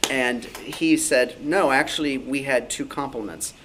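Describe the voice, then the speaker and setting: A man's voice: mid-range voice, a little slow. A man at a club meeting telling an informal story, acting out the dialogue as he recounts it. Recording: slightly noisy live meeting recording.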